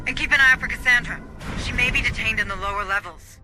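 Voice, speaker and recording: A woman's voice: on the high side, quick pace, natural voice. Female colony administrator for a sci-fi game, played by an actress: focused, businesslike, giving squad directions over music. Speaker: mission coordinator. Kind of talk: video game dramatic dialogue. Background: background music.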